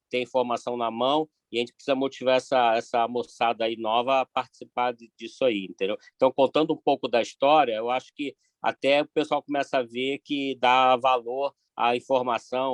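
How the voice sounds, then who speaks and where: A man's voice: medium pitch, no echo. A man speaking as a podcast guest, casual and reflective, trailing off his phrases.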